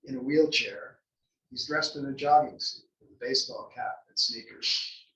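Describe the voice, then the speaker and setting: A man's voice: mid-range voice, moderate pace, fairly bright tone. A man reading scripted stage directions as the narrator at a play table read. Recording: echoey room, distant mic.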